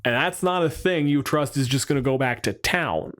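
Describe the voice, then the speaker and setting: A man's voice: a little high, normal speed, a bit bright. A man co-hosting a podcast in casual chat, arguing his points back and forth with qualifications. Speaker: podcast co-host. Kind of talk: casual chat about tabletop game monsters.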